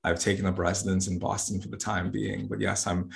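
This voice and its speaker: A man's voice: deep voice, little pitch movement, a little fast, vocal fry. A man from the Bahamas, a guest astrologer and yoga teacher, talking earnestly in a casual interview and often restarting his words.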